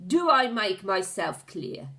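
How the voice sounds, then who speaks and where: A woman doing a shrieking, high, raging old witch queen. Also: big pitch swings, fairly bright tone. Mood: ranting furious. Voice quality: shrieking, screaming. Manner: slow, drawn-out, ranting commands. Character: shrieking, furious old female witch leader. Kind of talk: storybook reading.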